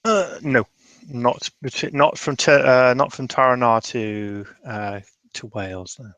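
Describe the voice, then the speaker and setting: Man with mid-pitched voice, close dry sound. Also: measured pace, a bit bright, no room sound. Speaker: roleplaying game player. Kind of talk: casual improvised roleplay chat.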